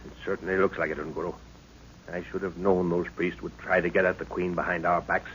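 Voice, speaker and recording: A commanding middle-aged professor-adventurer from a vintage radio serial, cautious and brisk with orders. His lowish voice is muffled, with faint noise on the recording. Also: level tone, a bit bright. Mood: urgent, cautious. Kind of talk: 1940s radio serial acting. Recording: dull, noisy old radio recording.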